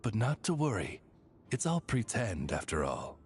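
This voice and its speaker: A man voicing a courtly, deferential gentleman attendant in an anime game, speaking politely and reassuringly. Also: lowish voice, sing-song, measured pace, soft background music. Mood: gently reassuring.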